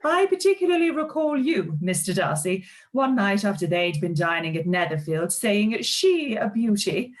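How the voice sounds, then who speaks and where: A woman's voice: mid-range voice, big pitch swings, moderate pace, hardly any pauses, close to an everyday voice, low-quality recording. A woman playing a haughty, spiteful young society lady in a period radio play, with an affected, disdainful drawl. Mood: catty, scornful.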